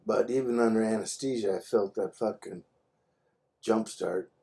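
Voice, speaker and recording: An older man with a low, soft and very mellow voice. He tells his stories casually over the phone, in blunt and salty language. Chuckles tend to creep into his way of talking. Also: normal speed, slightly rough. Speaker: phone call participant recounting his medical history. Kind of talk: casual chat, anecdotal storytelling. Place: phone conversation.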